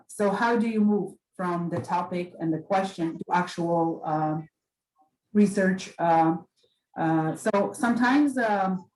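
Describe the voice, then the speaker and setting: A middle-aged librarian walks new students through database searching. She pauses often, restarts her sentences and fills the gaps with "uh". Her voice sits low and rings a little in an echoey room. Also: a little mellow. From Poland. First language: Polish. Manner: hesitant, many fillers and restarts. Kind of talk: library orientation talk.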